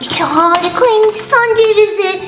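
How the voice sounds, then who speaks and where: A woman's voice: very high-pitched, moderate pace. A woman voicing a playful, greedy toy turtle in a children's toy story, exclamatory and sing-song. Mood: gleeful, hungry. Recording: roomy sound with music underneath.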